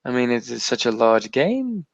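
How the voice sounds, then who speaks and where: A man's voice: medium pitch, sing-song, normal speed, vocal fry, no echo. A man co-hosting a casual, bantering podcast, talking theatrically and keeping up a put-on accent. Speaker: podcast co-host. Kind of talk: casual banter about TV and video games.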